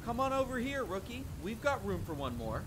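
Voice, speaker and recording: The young recruit who chats up every stranger at a rainy army camp in a fantasy audio play a man acting, lively and eager, voice leaping about over the patter. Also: a little fast. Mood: welcoming, concerned. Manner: talkative, eager, bantering. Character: friendly, chatty, excitable young male soldier, fantasy spearman. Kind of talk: immersive roleplay audio drama addressed to the listener. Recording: constant rain and rumble behind voice. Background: rain, campfire ambience, rolling thunder.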